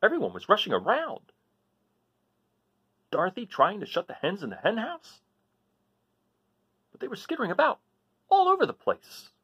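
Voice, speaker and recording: A man voicing an excitable, boastful farm dog telling a storybook tale in a folksy, dramatic, emphatic way. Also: sits high, moderate pace, pauses often, slightly rough, pitched above the performer's own voice, exaggerated voice. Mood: excited, alarmed. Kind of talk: animated storybook read-aloud. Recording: dull lo-fi device microphone.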